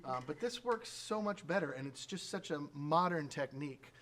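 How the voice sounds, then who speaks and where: A man's voice: on the high side, animated, normal speed, noisy background. A man from a radio drama troupe, casually prompting sound effects and rambling with many fillers and restarts. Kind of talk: casual demonstration talk.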